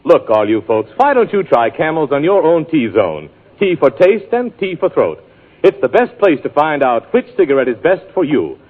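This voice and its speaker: A man's voice: a little high, sing-song, normal speed, narrow, tinny audio. A man announcing a 1940s radio advertisement in an emphatic, selling manner. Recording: thin 1940s radio broadcast.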